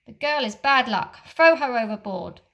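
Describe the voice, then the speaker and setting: A woman's voice: on the high side, lively intonation, moderate pace, gravelly, a bit bright. A woman voicing a villainous male pirate captain who barks blustering orders in a storybook reading.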